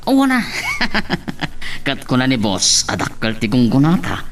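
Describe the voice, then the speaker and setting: A man's voice: mid-range voice, wildly swooping pitch, a little slow, slight background noise. Jolly, cocky crook played by a man, swooping voice. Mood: goading. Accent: Ilocano. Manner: chuckles often, goading. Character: cocky, jolly male crook luring his pal into drug running. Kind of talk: Ilocano radio-style drama acting.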